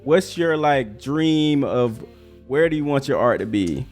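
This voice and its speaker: A man's voice: mid-range voice, lively intonation, measured pace, a little mellow, soft background music. A man hosting a casual interview in a laid-back, slangy way. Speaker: interviewer, host. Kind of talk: casual chat.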